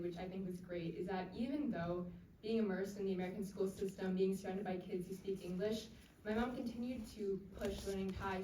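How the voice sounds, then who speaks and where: A young woman on a discussion panel, calmly telling how her family shaped her. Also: mid-range voice. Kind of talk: answering at a live panel. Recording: echoey room, distant mic, faint noise.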